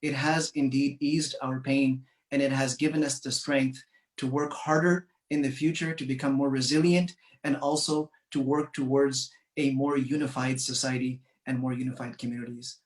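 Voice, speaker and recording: Canadian guy giving a slow earnest speech, lots of uhs, roomy echo. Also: mid-range voice, level tone, distant mic. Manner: measured, earnest, with frequent uh fillers. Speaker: community speaker. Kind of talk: commemorative speech.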